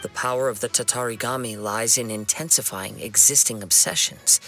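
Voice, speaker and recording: A man voicing a calm, principled young wandering swordsman in a video game, with measured, earnest, formal delivery. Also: on the low side. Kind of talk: dramatic video game dialogue. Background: music.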